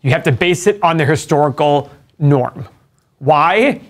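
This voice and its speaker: A man's voice: a little high, expressive, unhurried. A middle-aged man co-hosting a casual finance chat, explaining numbers step by step and asking rhetorical questions. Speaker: co-host and stock analyst.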